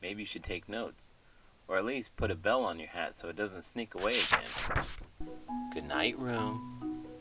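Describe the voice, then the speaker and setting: A man's voice: sits low, animated, unhurried, long pauses, recorded from a distance, over loud music. A man hosting a bedtime read-aloud, delivering picture-book lines and scripted chat in a soothing storytelling style. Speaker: host and bedtime story reader.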